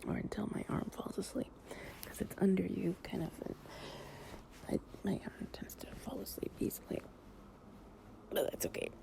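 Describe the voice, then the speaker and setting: A woman speaking softly, often in a breathy whisper, in an intimate, affectionate and soothing improvised girlfriend ASMR roleplay. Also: mid-range voice, fairly even intonation, moderate pace, pauses often, poor audio. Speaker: audio roleplay performer playing an affectionate girlfriend.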